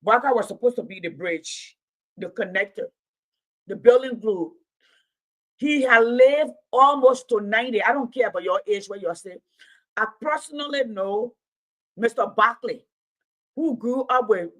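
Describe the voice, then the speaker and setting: A woman's voice: fairly high pitch, expressive, moderate pace, fairly bright tone, rough recording. A woman in her late fifties giving impassioned livestream commentary, preaching in repeated rhetorical build-ups. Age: 50s. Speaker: commentator and Pan-Africanism teacher.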